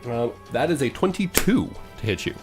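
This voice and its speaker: A man's voice: medium pitch, very animated, moderate pace. Man game-mastering a tabletop game over music.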